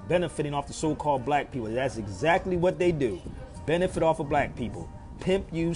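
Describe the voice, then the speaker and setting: A man's voice: mid-range voice, a little mellow. A man hosting a livestream, talking to his viewers in an emphatic, preachy way and repeating phrases for effect. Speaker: live stream host.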